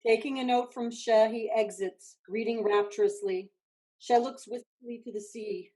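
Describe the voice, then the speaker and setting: A woman's voice: fairly high pitch, fairly even intonation, normal speed, mellow, rough recording. A woman reading stage directions as scripted narration for a play.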